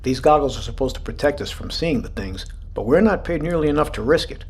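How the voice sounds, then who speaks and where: Stern, sardonic naval commander. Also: male voice, on the high side, lively intonation, a little fast, breathy edge. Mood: stern, commanding. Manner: commanding, dry sarcasm. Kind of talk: audio drama acting.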